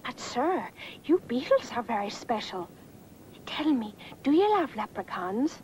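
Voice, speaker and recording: A woman's voice: fairly high pitch, big pitch swings, measured pace, darkish tone, a little hiss or hum. A woman voicing a tiny, coaxing female leprechaun with a showy sales patter in a cartoon. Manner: sweet-talking, persuasive, showy. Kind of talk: 1960s animated cartoon acting. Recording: dull lo-fi old cartoon soundtrack, music behind.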